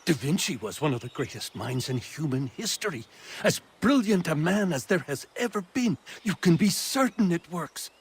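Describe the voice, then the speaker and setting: An actor playing an excitable, boastful colonial inventor in a video game, with a husky voice and theatrical, drawn-out emphasis. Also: male voice, fairly high pitch, heavily acted. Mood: enthusiastic, boastful. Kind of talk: video game character acting.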